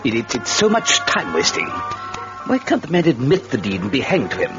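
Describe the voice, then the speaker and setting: An actor playing a chatty, gleefully morbid devil who hosts a radio horror tale with fussy, gloating asides. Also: male voice, mid-range voice, huge pitch swings, normal speed. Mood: gleeful, mocking. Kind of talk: old radio horror host acting. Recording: dull lo-fi radio, music behind.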